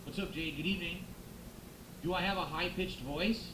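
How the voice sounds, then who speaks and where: A man's voice: sits high, moderate pace. A man live-streaming on a close microphone, chatting casually with viewers, thanking them and musing aloud as he goes. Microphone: close XLR microphone. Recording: echoey room with background noise.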